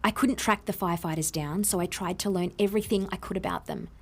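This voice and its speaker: An Australian actress playing a guilty, defensive inventor who earnestly explains herself in an audio drama. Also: female voice, fairly low pitch, fast talker, slightly rough. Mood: earnest, explaining.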